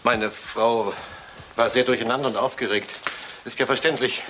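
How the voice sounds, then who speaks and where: A man's voice: medium pitch, steady tone, normal speed, natural voice. A male actor playing the brusque, impatient son of an English lord in a German radio crime drama, formal and commanding. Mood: irritated, controlled. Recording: faintly noisy old radio play recording.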